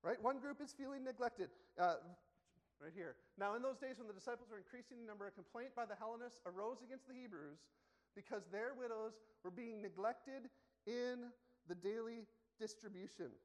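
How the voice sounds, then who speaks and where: A man's voice: very high-pitched, moderate pace. A man preaching a sermon in a church, conversational and self-correcting, often asking the congregation questions. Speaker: pastor. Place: church sanctuary. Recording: long hall reverb.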